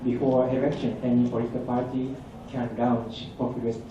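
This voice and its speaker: A man's voice: medium pitch, flat delivery, a little fast. A man from Japan, a student asking the presenter a question carefully, repeating himself as he searches for words. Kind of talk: audience question at a conference. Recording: echoey hall, distant dull mic, noisy.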